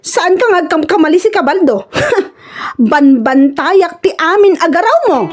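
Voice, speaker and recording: A woman acting a jealous, suspicious wife in an Ilocano comedy radio drama. Her extremely high voice nags and scolds combatively in an Ilocano accent. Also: lively intonation, measured pace, soft background music. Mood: angry, suspicious. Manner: nagging, exclamatory, combative.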